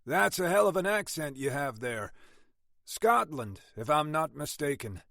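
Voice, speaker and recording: A man's voice: a little high, sing-song, unhurried, vocal fry, a bit bright, no echo, studio quality, voice pushed up, full character voice. A man voicing a breezy, dismissive husband.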